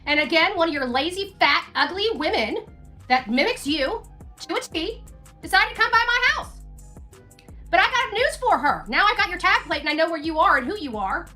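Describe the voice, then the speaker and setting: High pitched sharp woman streamer ranting, combative n taunting, repeats herself, bit of music behind. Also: animated, normal speed. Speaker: livestreamer. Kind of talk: confrontational livestream rant.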